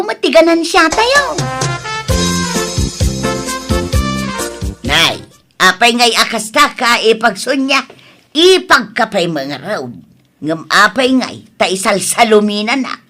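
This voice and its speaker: A woman plays a lively mother-in-law in an Ilocano comedy radio drama. Her voice is bright and sharp, rather high, and swings widely as she exclaims. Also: unhurried, exaggerated voice. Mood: excited.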